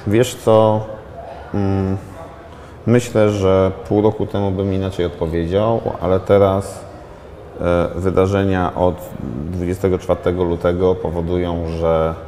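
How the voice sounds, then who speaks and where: A man in his thirties, a film director, giving a reflective interview in a studio set, thinking aloud with many pauses and restarts. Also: low-pitched, flat delivery, warm tone, a little hiss or hum. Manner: thoughtful, hesitant, many fillers and restarts. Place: studio set in a large glass-walled building.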